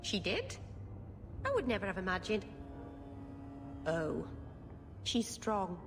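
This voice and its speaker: A woman's voice: mid-range voice, wildly swooping pitch, slow, background noise, full character voice. A woman voicing a kind-hearted, naive young woman in a video game, sincere and earnest. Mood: concerned. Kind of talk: video game dialogue acting.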